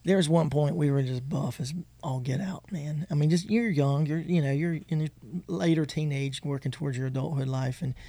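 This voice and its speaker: High-voiced man, emphatic podcast co-host. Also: normal speed, few pauses, no echo. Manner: animated storytelling, emphatic agreement.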